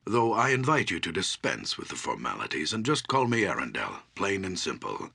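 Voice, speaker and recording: An actor playing an elderly, humble and wise archdruid in a fantasy game, speaking gravely in a measured, formal, theatrical way with a gravelly, husky voice. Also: male voice, deep voice, huge pitch swings, bright, forceful tone, heavily acted. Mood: humble, courteous.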